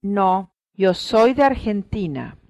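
A woman modeling phrases for a language course, each one said twice with clear, deliberate enunciation. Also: medium pitch, very animated, a little mellow, dry recording. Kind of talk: repeating lesson phrases.

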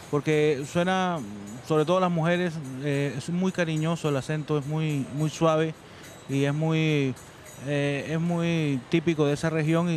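A man answering a street interview casually, hesitating with lots of fillers. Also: on the high side.

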